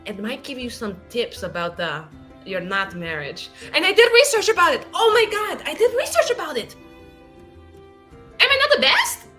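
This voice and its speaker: Woman playing a bright, swooping, chatty flirt. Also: sits high, big pitch swings, normal speed, soft background music, pitched above the performer's own voice, heavily acted. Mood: excited, proud. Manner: gushing, cheeky, rambling.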